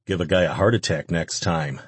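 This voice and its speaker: A man's voice: deep voice, a little fast, breathy edge, no echo. A man voicing an indignant, hot-headed winged male recruit who mutters and growls in a story reading. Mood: wary, confused.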